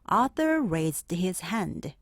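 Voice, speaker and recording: A woman narrating a children's picture book aloud in an expressive, clearly phrased storytelling manner. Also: on the low side, takes it slowly, no room sound, clean studio sound.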